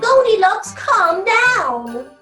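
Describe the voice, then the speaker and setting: A woman's voice: very high voice, very animated, extremely slow, pushed and bright, faint music behind, heavily acted. A woman voicing a little baby bear, plaintive and childlike, in a fairy-tale radio play.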